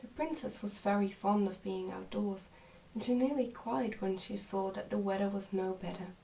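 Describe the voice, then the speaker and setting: A woman reading a storybook as soft-spoken, measured ASMR narration. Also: medium pitch, gravelly, roomy sound, distant mic, slight background noise, low-quality recording. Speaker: storybook reader.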